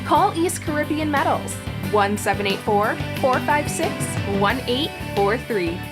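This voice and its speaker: A woman with a high voice reads a radio commercial as a brisk sales pitch. Loud music plays right behind her. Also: lively intonation. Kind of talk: commercial ad read.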